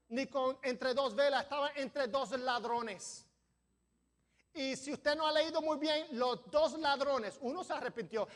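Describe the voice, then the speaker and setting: A man's voice: very high voice, a bit bright. A man preaching a sermon as pastor of a church, a non-native speaker who sometimes stumbles over words and builds to emphatic peaks. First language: not Spanish. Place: church sanctuary.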